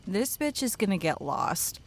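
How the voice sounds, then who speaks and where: A woman's voice: medium pitch, very animated, unhurried. A woman playing a blunt, sarcastic, unimpressed teenage girl counselor in an audio drama. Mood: mocking.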